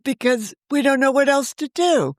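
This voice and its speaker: A woman in her sixties, a novelist guest on a remote interview, talking in a chatty, animated way and often jumping in over the host. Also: sits high, moderate pace, no room sound, clean studio sound. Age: 60s. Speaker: novelist and podcast creator, guest. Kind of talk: casual interview chat.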